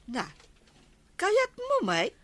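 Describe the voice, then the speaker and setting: A woman's voice: extremely high pitch, wildly swooping pitch, extremely slow, a bit bright, no echo, exaggerated voice. A woman playing a village captain's generous, proud wife in an Ilocano radio comedy drama, with an Ilocano Filipino accent.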